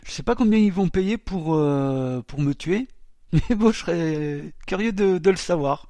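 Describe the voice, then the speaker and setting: High-voiced man, web radio host, mocking and halting, dry close sound. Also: lively intonation, mellow, dry recording. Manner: mocking, defiant, full of hesitations. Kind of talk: commenting on recorded CB radio chatter.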